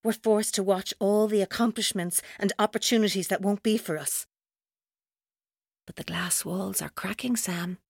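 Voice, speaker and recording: A woman's voice: mid-range voice, steady tone, moderate pace, gravelly, husky, dry recording, clean studio sound. A woman playing a tormented, vengeful captor in a radio drama, with an intense, taunting, accusatory delivery. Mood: bitter.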